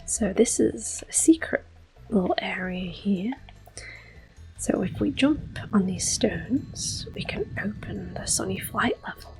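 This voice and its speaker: A woman in her thirties giving soft-spoken ASMR gameplay commentary, often slipping into a whisper, close to the mic. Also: mid-range voice, animated, slow, faint music behind, low-quality recording. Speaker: ASMR gaming channel host.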